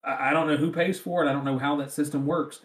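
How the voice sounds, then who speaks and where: A man's voice: on the high side, fairly even intonation, quick pace. A man with a rural Southern American accent, chatting casually. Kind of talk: casual chat. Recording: roomy, echoing sound.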